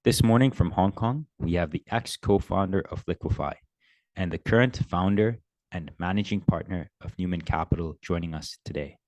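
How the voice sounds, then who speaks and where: Deep-voiced podcast host man. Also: moderate pace, darkish tone, no echo, highs cut off. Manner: scripted, upbeat introductions. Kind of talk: podcast interview hosting.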